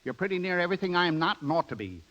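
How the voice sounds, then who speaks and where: Brash middle-aged pal, high forceful voice, blunt, hectoring, 1930s radio play. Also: male voice, very animated, rapid-fire, lo-fi sound. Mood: scolding. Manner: loud clowning, hectoring. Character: brash, blunt middle-aged American buddy, loyal and scolding. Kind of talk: 1930s radio drama acting. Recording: old 1930s radio broadcast.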